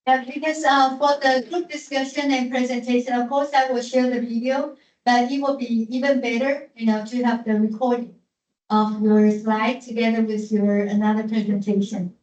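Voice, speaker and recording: Teacher speaking from far off, echoey. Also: female voice, fairly high pitch, flat delivery, normal speed, a little mellow. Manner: hesitant, many "uh" fillers, repeats words. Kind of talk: classroom instruction. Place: classroom. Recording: echoey classroom from far off, dull.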